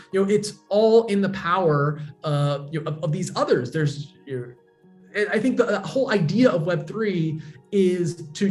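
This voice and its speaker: A man, a guest on a remote interview, patiently explaining technology with everyday examples. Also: on the high side. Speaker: guest, blockchain educator. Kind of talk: casual explanatory interview talk.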